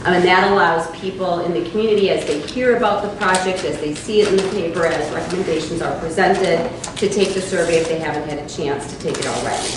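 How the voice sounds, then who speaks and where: A woman's voice: on the low side, fast, hardly any pauses. A woman leading a public housing meeting, walking the group through a survey with frequent fillers. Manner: explanatory, many fillers. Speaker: meeting facilitator. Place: church hall meeting. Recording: echoey room from far off with noise.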